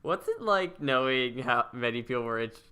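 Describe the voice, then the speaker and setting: A man hosting a casual podcast interview, speaking in a halting, stammering, rambling way. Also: medium pitch, expressive, bright, forceful tone, smooth. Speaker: podcast host.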